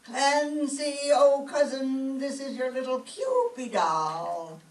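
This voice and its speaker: A woman playing an excitable, sentimental older Irish widowed landlady in an old-time radio comedy, with an Irish accent. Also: high voice, slow pace. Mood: pleading. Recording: echoey room, distant mic, faint noise.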